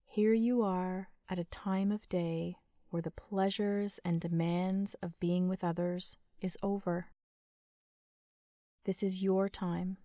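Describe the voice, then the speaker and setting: A woman leading a guided sleep meditation in a gentle, soothing, unhurried manner with long pauses. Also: fairly low pitch, fairly even intonation, no echo, studio quality.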